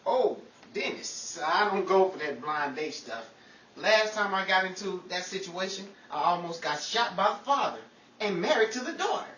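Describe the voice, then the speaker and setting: A man's voice: high-pitched, animated, moderate pace, bright, forceful tone. A man playing a skeptical, wisecracking bachelor friend with sardonic, incredulous quips, in a 1940s radio comedy style. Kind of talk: 1940s old-time radio comedy acting. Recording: echoey, distant, noisy crowded recording.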